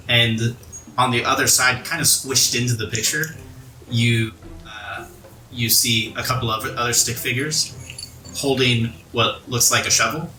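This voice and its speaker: A man's voice: mid-range voice, pushed and bright. A man narrating a tabletop roleplaying game as game master, in informal, descriptive storytelling. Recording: roomy, distant mic, music underneath. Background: music.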